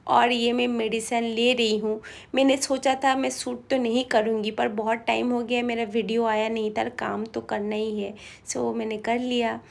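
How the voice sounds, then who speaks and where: Chatty young woman with a slightly higher voice. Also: flat delivery, normal speed, gravelly. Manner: chatty and homely, mixing in English words. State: coughing a bit, slightly feverish. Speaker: vlogger. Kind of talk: casual chat to camera. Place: bedroom at home.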